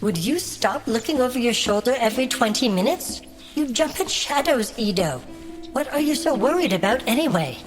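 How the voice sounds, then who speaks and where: A woman's voice: a little high, expressive, moderate pace, close to an everyday voice. A woman playing a guileless young adventurer who questions her companion sharply, with long echoing reverb over music. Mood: exasperated. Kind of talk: audio drama acting.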